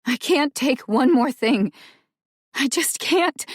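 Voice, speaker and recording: A woman voicing a furious, overwhelmed young woman who shouts and curses as she nears breaking. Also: fairly high pitch, a little slow, no echo, studio quality, voice pushed up. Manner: shouting, cursing, voice cracking.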